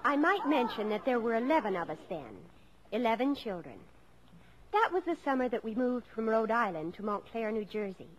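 A woman's voice: fairly high pitch, sing-song, moderate pace, a little hiss or hum, poor audio. An actress playing a fond daughter who narrates her family story in 1950s radio drama style. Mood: fond, storytelling. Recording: old radio broadcast with music behind.

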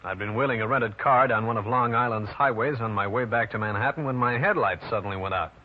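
An actor playing a dry, self-assured private detective in an old-time radio detective drama. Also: male voice, medium pitch, quick pace, natural voice. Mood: wry narrating. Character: wry, hard-boiled male New York private detective. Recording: dull, noisy vintage radio recording.

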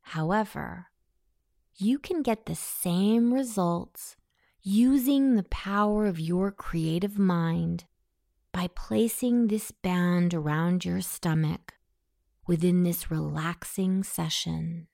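Slow, low-voiced woman guiding meditation. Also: no room sound, studio quality. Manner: soothing, softly breathy delivery. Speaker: hypnosis meditation guide. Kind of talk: scripted guided meditation.

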